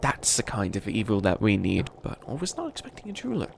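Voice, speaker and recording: A man playing a centuries-old, boastful but bumbling evil witch in an ASMR comedy roleplay, speaking in a theatrical, halting, grandiose way. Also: on the low side, wildly swooping pitch, vocal fry, roomy sound, a little hiss or hum, heavily acted. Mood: gleeful, scolding.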